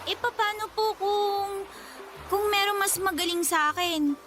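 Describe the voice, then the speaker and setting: A woman's voice: very high-pitched, measured pace, over loud music. A woman with a Filipino accent voicing a timid, nervous young girl in a radio drama, hesitant and polite. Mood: worried.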